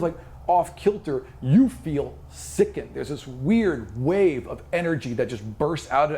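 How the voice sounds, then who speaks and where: A man's voice: a little high, darkish tone. A man improvising narration as game master in a tabletop fantasy game.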